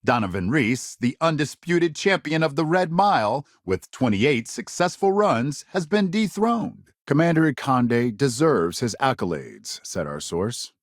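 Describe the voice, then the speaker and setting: A man's voice: medium pitch, big pitch swings, no echo. A polished male news anchor for a space game, swingy emphatic delivery, super dry studio sound. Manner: measured, emphatic, dramatic newsreader phrasing. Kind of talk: scripted broadcast news delivery.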